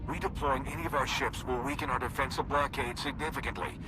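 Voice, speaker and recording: A man playing a steady, authoritative fleet officer in a sci-fi game, with brisk formal military delivery. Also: mid-range voice, music in the background, natural voice, poor audio. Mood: brisk, concerned. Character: steady, authoritative male fleet officer reporting and giving orders.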